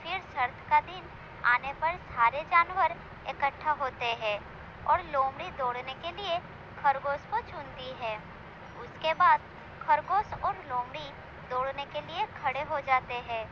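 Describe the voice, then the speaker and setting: A woman's voice: sits high, a little slow. A woman narrator reading a scripted animal story for children. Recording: dull lo-fi sound with clear noise.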